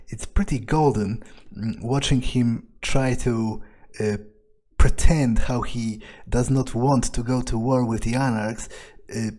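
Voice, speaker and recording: A man giving in-character commentary over a video game playthrough, musing in a dry, unhurried way. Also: mid-range voice, dark tone. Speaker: gamer playing in character.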